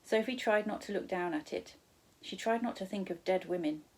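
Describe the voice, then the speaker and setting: A woman reading a children's storybook aloud as a teacher, in expressive narration with the odd corrected stumble. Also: mid-range voice, normal speed, rough recording.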